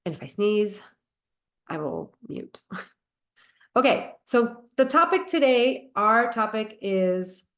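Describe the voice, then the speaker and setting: A woman's voice: medium pitch, lively intonation, slow pace. A woman with a cold, sounding nasal and stuffy, hosting her own podcast in an earnest, reflective way and asking rhetorical questions. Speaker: podcast host. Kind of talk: casual solo podcast talk.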